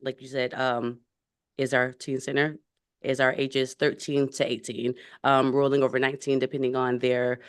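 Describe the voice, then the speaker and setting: A woman program manager speaking hesitantly, with many pauses, at a city commission meeting. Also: a bass voice, level tone, clean tone. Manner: hesitant, filler-heavy.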